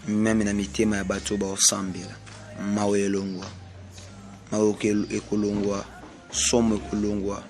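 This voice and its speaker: A man from Congo with a Congolese French accent, delivering a slow, repetitive prophetic sermon. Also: on the low side. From Congo (DRC). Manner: slow, repetitive, emphatic pronouncements. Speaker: self-styled prophet.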